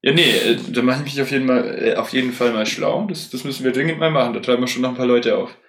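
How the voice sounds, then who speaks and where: A man's voice: mid-range voice, quick pace, a bit bright. Eager young man in an echoey room. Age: young adult. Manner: eager, candid, joking. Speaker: podcast co-host. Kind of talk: casual chat.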